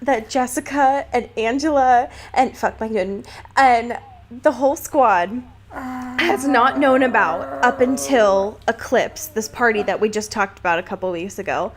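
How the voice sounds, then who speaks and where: Woman, fairly high voice, podcast co-host, casual comedic chat, slangy, informal, sweary. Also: a little slow, noisy background.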